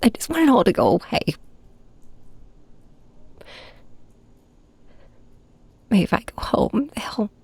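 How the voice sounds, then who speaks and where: A woman playing a heartbroken young superhero in an intimate audio drama, speaking softly and haltingly with a breathy voice. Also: a little high, big pitch swings, no room sound, pitched above the performer's own voice, full character voice. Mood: upset, tearful. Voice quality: soft, breathy. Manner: hesitant, halting, hushed. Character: heartbroken young flying superhero confiding in and snapping at nemesis. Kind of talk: first-person audio drama narration, ASMR hybrid.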